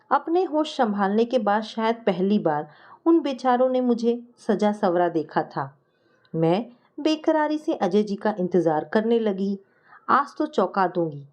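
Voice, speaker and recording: A woman narrates a family story in the first person, as a storyteller reading from a script. Her voice sits at a middle pitch for a woman. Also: dark tone.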